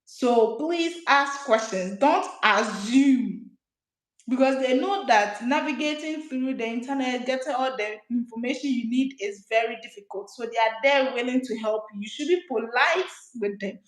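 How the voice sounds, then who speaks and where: A woman's voice: a little high, normal speed. A woman, hosting a live advice stream and patiently explaining things step by step with frequent ums. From Ghana. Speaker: study abroad advice livestream host. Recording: roomy echo.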